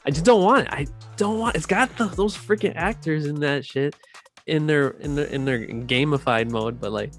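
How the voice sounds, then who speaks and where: A man in casual chat, speaking in a halting, slangy, opinionated way. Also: fairly high pitch. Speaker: podcast co-host. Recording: music under the voice.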